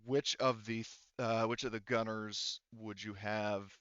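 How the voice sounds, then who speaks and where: A man's voice: on the low side, steady tone, unhurried, a bit bright, clean tone, no echo, lo-fi sound. A man acting as game master, casually narrating a tabletop game and muttering to himself as he checks rules and counts.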